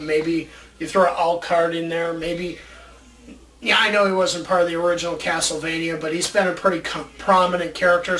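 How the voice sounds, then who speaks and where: A man with a high, bright voice talks casually and enthusiastically, like a devoted fan co-hosting a show. He is recorded at some distance from the mic, with faint noise behind him. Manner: enthusiastic, fannish. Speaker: co-host of a movie ideas show. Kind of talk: casual chat pitching ideas.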